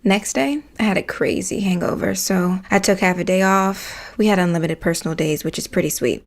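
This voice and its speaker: A woman acting a frank, wry neighbour in an audio comedy. Her voice sits a little low, and she narrates casually with deadpan asides. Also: fairly quick, close to an everyday voice. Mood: casual, matter-of-fact. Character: candid, wry working woman, a concerned neighbour. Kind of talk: comic audio drama narration.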